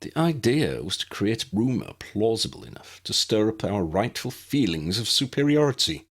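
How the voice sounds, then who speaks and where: A man's voice: on the low side, very animated, husky, voice pushed up, heavily acted. A man voicing a cold, disdainful, aristocratic wizard father who sneers smugly in a fantasy audiobook. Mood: sneering, smug. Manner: disdainful, smug, drawn-out delivery.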